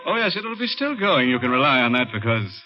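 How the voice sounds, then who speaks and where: An actor playing a gleefully macabre, punning host of a 1950s radio horror show, sardonic and mock-genteel. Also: male voice, medium pitch, sing-song, a little fast, lightly acted. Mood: sardonic glee. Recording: muffled old radio recording, music behind.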